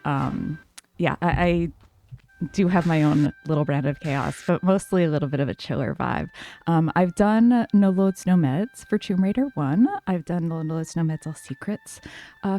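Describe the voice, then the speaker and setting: A woman streamer chats to her viewers in a soft, calm voice, full of "um"s and loose asides. She speaks into a desk mic. Faint music plays underneath. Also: lowish voice, normal speed. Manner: soft, calm, many fillers. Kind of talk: casual livestream chat.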